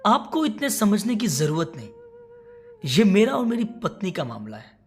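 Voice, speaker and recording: A woman's voice: mid-range voice, huge pitch swings, normal speed, a little mellow, slightly rough, soft background music, heavily acted. A woman voicing a careless, irritated adult son in a moral story, with a curt, dismissive manner.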